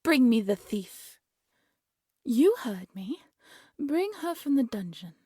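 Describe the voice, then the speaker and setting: A woman's voice: on the high side, big pitch swings, slow, warm tone, husky, dry recording, voice pushed up, heavily acted. A woman playing a cruel, haughty evil queen in a fairy-tale audio drama, imperious and theatrical. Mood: scheming menace. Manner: imperious, menacing, theatrical commands.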